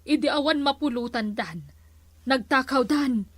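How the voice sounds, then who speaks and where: A woman's voice: sits high, measured pace, no echo. A woman with an Ilocano Filipino accent playing an exasperated, gossipy village wife in an amateur comedy skit.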